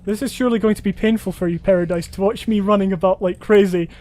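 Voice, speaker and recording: A man's voice: sits high, steady tone, fast talker, a little mellow. A man hosting a gameplay video, chatty and self-deprecating as he thinks aloud. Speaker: host. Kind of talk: gameplay commentary.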